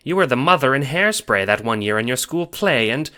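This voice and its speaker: Man putting on a high-voiced, affectionate old grandmother. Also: animated, fairly quick, pushed and bright. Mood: amused, teasing. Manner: fussy, affectionate, hooting pet-name talk. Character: stern yet warm, teasing old grandmother. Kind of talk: audio drama character acting.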